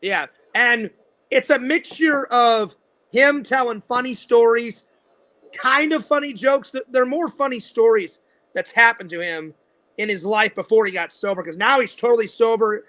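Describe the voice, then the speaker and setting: A man's voice: very high voice, normal speed, bright, forceful tone. A man in his forties hosting a casual interview, rambling and restarting his sentences. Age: 40s. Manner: rambling, repeats words and restarts often. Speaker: host.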